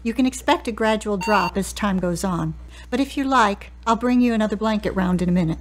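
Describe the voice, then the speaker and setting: An actress playing a kindly, matter-of-fact hospital nurse in an audio drama, gentle and practical. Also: female voice, medium pitch, brisk, lightly acted, rough recording. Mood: helpful.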